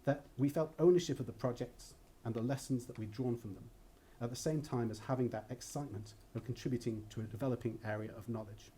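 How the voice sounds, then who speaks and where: A man delivers a formal introduction in an academic setting. His voice is mellow and relaxed, and his phrasing is measured and articulate. A faint layer of room noise sits behind him. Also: medium pitch. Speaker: academic colleague introducing a speaker. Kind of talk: formal prepared introductory speech. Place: lecture hall at a university event.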